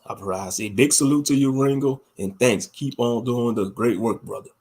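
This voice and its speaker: A man's voice: mid-range voice, brisk, darkish tone, husky. A man with a Louisiana accent talking casually as a livestream host, colloquial and given to repeating phrases for emphasis. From Louisiana, United States. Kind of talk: casual livestream commentary reading viewer comments.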